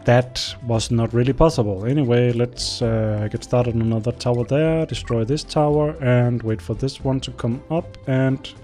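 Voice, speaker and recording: A man's voice: mid-range voice, fairly even intonation, normal speed, few pauses, dark tone, soft background music. A young man whose first language is Danish, casually commenting on a strategy game as he plays, thinking aloud and talking to his units. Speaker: gameplay commentator. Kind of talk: casual let's-play commentary.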